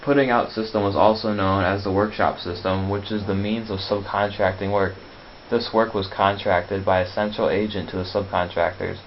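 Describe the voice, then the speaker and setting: A man's voice: on the low side, fairly even intonation, normal speed. A man reading scripted educational narration, sometimes stumbling over his words. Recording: dull, lo-fi, faint hiss.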